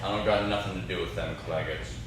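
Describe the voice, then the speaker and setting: Low, echoey, menacing old country man acted by man. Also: fairly even intonation, fast, a bit bright. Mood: dry, cool. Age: young adult. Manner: terse, dry, taunting. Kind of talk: amateur stage play acting. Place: classroom-like room, indoors. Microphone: far camera. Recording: echoey room, distant camera mic, clear noise.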